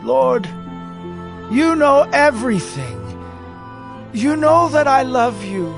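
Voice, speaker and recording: A devoted fisherman from a scripture drama. His voice is very high for a man and swings widely with earnest emotion. He speaks slowly and emphatically over music. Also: very animated, gravelly, full character voice. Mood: pleading.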